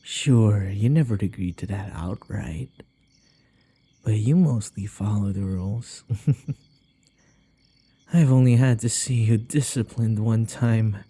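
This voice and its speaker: A man playing a possessive, teasing vampire in an ASMR roleplay, with a breathy voice that often drops to a whisper and a coaxing, taunting manner. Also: lowish voice, slow pace, long pauses, dark tone. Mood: taunting, playful. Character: possessive, teasing male vampire who hypnotizes his captive.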